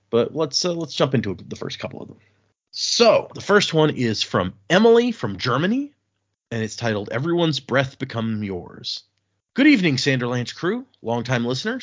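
A man hosting a podcast, chatting casually and reading listener emails aloud in a relaxed, halting way. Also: medium pitch, slightly rough, dull audio. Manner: relaxed, halting, with restarts and fillers. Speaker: podcast host.

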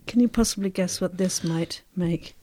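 A woman's voice: fairly low pitch, normal speed, background noise. A woman who directed an audio drama, chatting playfully on a podcast. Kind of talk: casual podcast chat.

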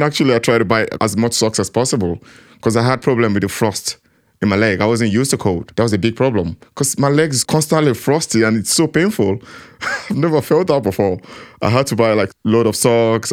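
A man's voice: on the low side, brisk. A man giving a personal account in an interview, reflective and acting out his own inner voice.

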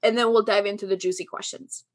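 A woman's voice: mid-range voice, steady tone, fast talker. A woman from Albania hosting an interview podcast, chatty and bubbly, laughing often. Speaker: podcast host. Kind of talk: upbeat interview chat.

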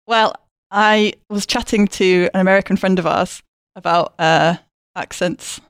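A woman from Southport, England, guesting on a podcast and speaking in a measured, slightly hesitant way. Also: medium pitch, steady tone, clean tone, no echo, clean studio sound. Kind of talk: casual chat.

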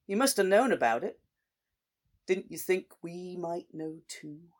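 A man voicing a big, calm middle-aged sheriff who speaks in a slow, measured drawl with quiet menace. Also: sits high.

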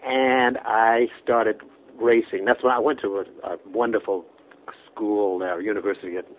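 A man in his nineties from Brooklyn, a guest telling long, rambling anecdotes that trail off softly, with restarts and chuckles. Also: fairly low pitch, level tone, normal speed. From Brooklyn, New York. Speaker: interview guest. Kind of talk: casual storytelling interview. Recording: phone-like narrow band.

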